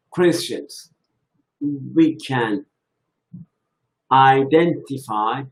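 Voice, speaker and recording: Slow, halting man. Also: medium pitch, rough recording. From Iran. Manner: slow, halting, thinking aloud. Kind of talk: reflective religious talk.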